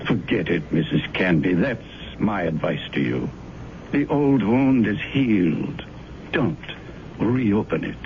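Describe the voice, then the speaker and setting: An actor playing a pious, persuasive man in an old radio drama, speaking in a soothing, solemn, measured manner. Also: lowish voice, lively intonation. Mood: firm, reassuring. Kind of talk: 1970s radio drama acting. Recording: muffled, hissy old radio broadcast recording.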